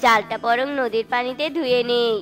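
A woman's voice: on the high side, flat delivery, moderate pace, a bit bright, soft background music, close to an everyday voice. A woman voicing a poor, timid father bird in a children's cartoon, with a plaintive, humble manner. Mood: hopeful. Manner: plaintive, pleading.

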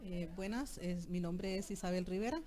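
A woman's voice: lowish voice, normal speed, mellow. A middle-aged woman with a Costa Rican accent answering in an interview, hesitant and repeating words as she searches for the next one. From Costa Rica. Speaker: interviewee, alliance leader. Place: outdoor patio at night. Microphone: handheld microphone held by interviewer.

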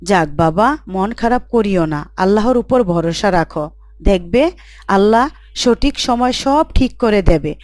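A woman playing a gentle, devout, comforting mother in a scripted moral story drama. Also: medium pitch, animated, unhurried, no echo, studio quality, close to an everyday voice.

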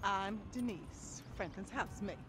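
An American woman playing a sassy, sharp-tongued middle-aged aunt who scolds, in a video game cutscene. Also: mid-range voice, a little slow, background noise, lightly acted. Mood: curt, irritated.

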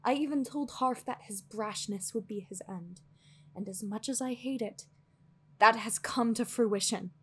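A woman playing a weary, guarded sorceress in an audio roleplay, earnest and anxious, with a breathy, husky voice and hesitant, sighing phrasing. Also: mid-range voice. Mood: defensive. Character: weary guarded female sorceress, anxious, fiercely logical, secretly lonely. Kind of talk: dramatic audio roleplay acting.

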